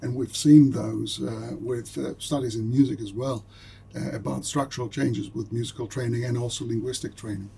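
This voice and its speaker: A man whose first language is German talks hesitantly in English, leaning on fillers as he gathers his thoughts. His voice is very raspy and gravelly. It has a dark, mellow tone. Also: mid-range voice, steady tone, moderate pace. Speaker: colleague in academic meeting. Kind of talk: casual academic discussion.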